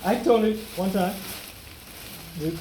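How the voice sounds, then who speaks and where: A man's voice: sits high, takes it slowly. An older Trinidadian man giving an earnest, preachy speech to relatives at a family gathering, repeating phrases for emphasis. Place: home gathering after a funeral service. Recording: echoey room with loud background noise.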